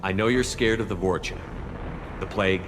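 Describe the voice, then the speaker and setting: A man playing a steady, principled military commander in a video game, speaking in a firm, plain, controlled way. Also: low-pitched, normal speed, background noise, natural voice. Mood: firm, persuasive. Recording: long reverb, music behind.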